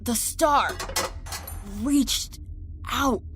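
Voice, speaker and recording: An actress playing an injured, bitter enemy soldier in an audio drama, defiant but rattled, halting and sardonic. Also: female voice, high voice, noisy background. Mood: shaken, incredulous.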